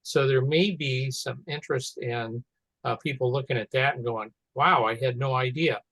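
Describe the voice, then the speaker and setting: A man explains things informally and a bit haltingly. His voice sits at an average male pitch, with a dry sound on a group call. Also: fairly even intonation, a bit bright, dry recording, low-quality recording. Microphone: teleconference call.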